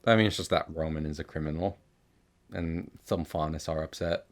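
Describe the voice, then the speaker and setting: Casting: young male podcast host with a low voice, chatty and rambling, loose with swearing. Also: steady tone, a little fast. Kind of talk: casual chatty recap of an animated series.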